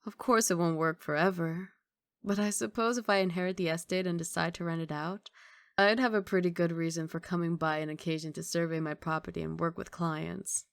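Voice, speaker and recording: Low, creaky-voiced, tender mafia boss woman roleplaying. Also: steady tone, brisk, no room sound, clean studio sound. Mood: guarded, matter-of-fact. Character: guarded, tender female mafia boss, secret long-distance girlfriend. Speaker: ASMR roleplay voice actor. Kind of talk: intimate ASMR roleplay monologue.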